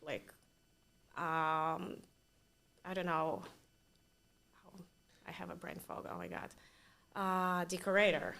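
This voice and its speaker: A woman whose first language is Russian, a designer speaking as a guest in a casual interview, pausing to search for words in non-native phrasing. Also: on the low side, animated, gravelly, fairly bright tone.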